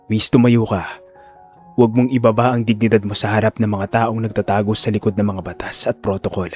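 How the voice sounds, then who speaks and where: A man playing a calm, authoritative chief neurosurgeon with a cold, commanding manner in an audio drama. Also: fairly low pitch, brisk, soft background music, pitched below the performer's own voice, lightly acted. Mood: gentle resolve. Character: cold commanding male chief neurosurgeon, quietly menacing, protective.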